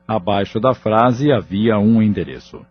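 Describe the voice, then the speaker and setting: A man's voice: lowish voice, expressive, a little slow, husky. A man reading scripted narration for an audio novel as its narrator. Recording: muffled lo-fi audio, background music.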